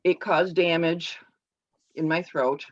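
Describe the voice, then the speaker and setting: A woman giving a personal speech to her club over a video call, halting and explanatory with frequent restarts. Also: deep voice, fairly even intonation. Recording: dull lo-fi call audio.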